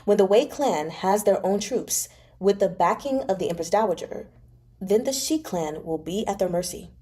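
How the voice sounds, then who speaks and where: Female reader as calculating male adviser in a novel, mellow, composed, persuasive. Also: mid-range voice, lively intonation, natural voice. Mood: cool, persuasive. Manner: measured, reasoning, unruffled. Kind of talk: dramatic novel read-aloud.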